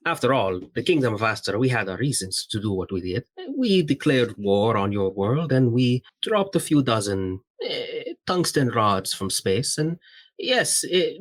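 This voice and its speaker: A man's voice: mid-range voice, close to an everyday voice. Man playing a proud, formal soldier pilot. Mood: defensive, measured. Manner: formal, measured, self-justifying. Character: proud, unrepentant soldier pilot from a space kingdom.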